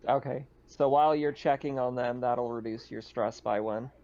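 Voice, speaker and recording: A man acts as game master at a tabletop roleplaying session. He talks in a conversational, halting way at a middle pitch, and the recording is a little dull and lo-fi. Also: steady tone, darkish tone.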